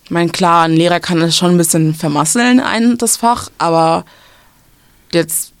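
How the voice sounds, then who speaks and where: A woman's voice: lowish voice, steady tone, measured pace, clean tone, no echo. A young woman from western Germany giving casual school advice, explaining at length with many fillers and restarts.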